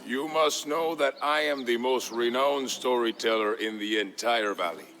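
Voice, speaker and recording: A man's voice: mid-range voice, normal speed, fairly bright tone, a little hiss or hum, close to an everyday voice. A man playing a proud, boastful old village storyteller in a narrated game tale. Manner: boastful, grandiose.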